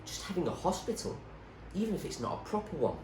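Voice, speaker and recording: A man's voice: high voice, sing-song, quick pace, a little mellow, voice pushed up. Man reading as a wary, questioning storybook girl. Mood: thoughtful. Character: young girl heroine, wary, questioning, determined. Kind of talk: character dialogue in a storybook reading. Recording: roomy echo, faint background noise.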